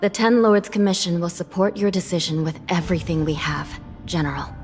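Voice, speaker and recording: A woman's voice: fairly low pitch, fairly even intonation, fairly quick, a little mellow, faint music behind, close to an everyday voice. An actress playing a formal, earnest female judge in a game cutscene.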